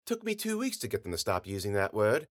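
A man voicing a posh older gentleman, an indulgent but sinister father, smooth and silky, with measured scolding. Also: on the low side, huge pitch swings, no room sound, clean studio sound, exaggerated voice. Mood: menacing.

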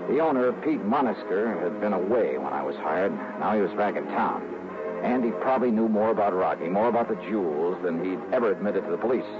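A world-weary private eye, played by a male actor tells his case in a low, wry, colloquial voice. It is hardboiled radio drama, confiding and clipped, on a dull vintage recording with prominent music behind. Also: lively intonation, brisk, close to an everyday voice. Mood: intent, confiding. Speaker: lead actor and narrator of a detective series. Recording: muffled old radio broadcast with music.

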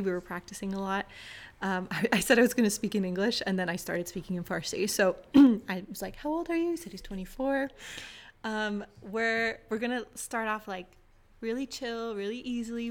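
A woman hosting a podcast, talking in a casual, chatty and reassuring way. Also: mid-range voice. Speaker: podcast host interviewing.

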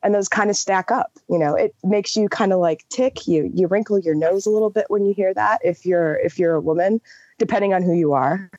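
A woman's voice: mid-range voice, fairly quick, darkish tone, dry recording, highs cut off. A woman from Wisconsin with an American accent, a panelist on a podcast call, talking earnestly with many fillers and restarts. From Wisconsin, US upper Midwest. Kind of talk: casual roundtable discussion. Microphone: call audio.

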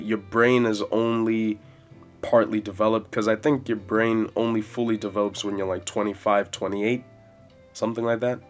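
A man in his twenties hosting a solo podcast, rambling casually and swearing freely in a loud voice with bass. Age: 20s. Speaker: podcast host. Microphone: podcast mic. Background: soft jazz music.

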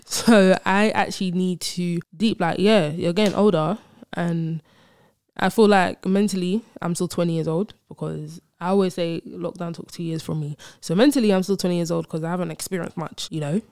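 A young woman talks through her own podcast in a chatty, slangy, storytelling way. Her voice sits fairly low for a woman. The recording is dry and close, with no room sound. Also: fairly even intonation, normal speed, a little mellow, no room sound. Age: 20s. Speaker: podcast host. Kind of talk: casual solo podcast chat.

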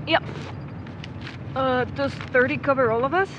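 A woman's voice: sits high, a little slow. A woman playing a pessimistic, sardonic young searcher in an audio drama, skeptical and natural in delivery. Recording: muffled, with clear background noise.

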